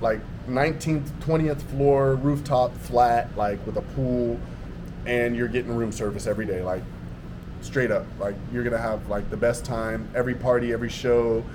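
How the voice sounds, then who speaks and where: A man's voice: medium pitch, steady tone, normal speed. A man chatting casually on a podcast, laid-back and slangy, filling his talk with "like". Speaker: podcast guest. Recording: noticeable background ambience noise.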